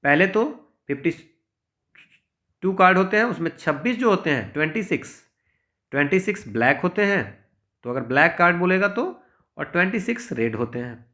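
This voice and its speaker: Higher-pitched Indian man teaching maths. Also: expressive, brisk, pauses often, sharp tone. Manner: explanatory, with frequent check-in tags. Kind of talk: informal exam-prep lesson, working through problems step by step.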